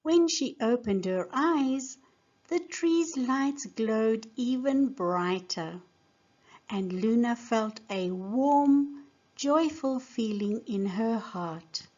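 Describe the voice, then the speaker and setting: A woman teacher giving a scripted lesson and narrating a storybook in a deliberate, simple way for young learners. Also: on the high side, expressive, a little mellow, lo-fi sound. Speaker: English teacher reading a children's story.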